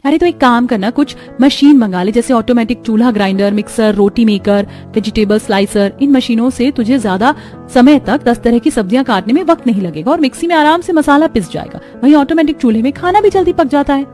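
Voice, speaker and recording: A woman voicing a caring young friend who gives brisk, familiar advice in a cartoon. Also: a little high, mellow, faint music behind, close to an everyday voice. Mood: helpful, practical.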